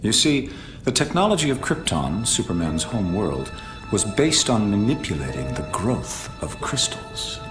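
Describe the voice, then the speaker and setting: A deep, smug criminal mastermind. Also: male voice, big pitch swings, a little slow. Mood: grandiose. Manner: grandiose, theatrical, savouring words. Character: suave, smug adult male criminal mastermind. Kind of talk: superhero film villain acting. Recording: roomy echo, loud music underneath.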